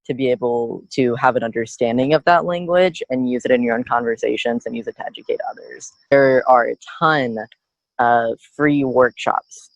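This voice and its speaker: Young guy podcast guest, kinda gravelly voice, dry close mic, earnest and reflective, lots of likes and you knows. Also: medium pitch, no room sound, poor audio. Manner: earnest, reflective, with frequent "like" and "you know" fillers. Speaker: student guest. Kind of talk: candid personal storytelling.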